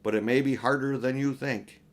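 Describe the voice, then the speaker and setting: A man voicing a great royal lion who speaks in solemn, deliberate, commanding proclamations for a storybook. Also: mid-range voice, steady tone, lightly acted. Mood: grave.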